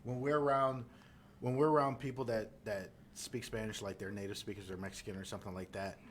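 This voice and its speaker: A man in casual chat who jokes and chimes in with quick quips. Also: on the low side, steady tone, a little hiss or hum.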